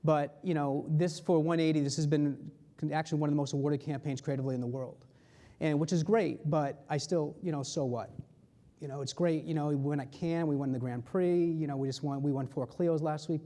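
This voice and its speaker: A man's voice: a little high, a little fast, mellow. A man speaking as an advertising executive, giving an informal, conversational presentation at a conference, repeating phrases for emphasis.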